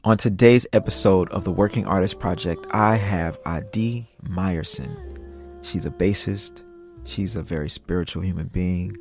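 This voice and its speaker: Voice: low-pitched, normal speed, a little mellow, faint music behind. A podcast host introducing a guest and chatting in an interview.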